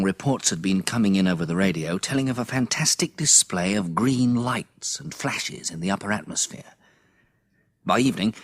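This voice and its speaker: A man's voice: lowish voice, no room sound. An actor playing a grave, reflective survivor with an English accent, narrating his story in a classic radio drama. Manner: sober, reflective. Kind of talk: scripted dramatic first-person narration.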